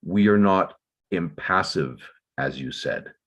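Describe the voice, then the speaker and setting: A man playing a burdened, defensive father in a dramatic table read, explaining himself in halting, measured phrases. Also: sits low, lively intonation, fairly bright tone, highs cut off, heavily acted. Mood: regretful.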